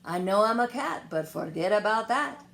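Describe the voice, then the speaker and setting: A woman's voice: medium pitch, lively intonation, moderate pace, a bit bright. A woman voicing a plucky young boy kitten who plays a sword-fighting hero, dramatic and drawn out. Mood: gleeful.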